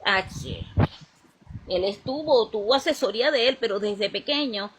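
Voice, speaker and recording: A woman's voice: on the high side, normal speed, noisy background. A woman speaking in a casual spiritual chat, rambling and searching for words as she restarts her sentences. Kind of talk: casual conversation about spirituality.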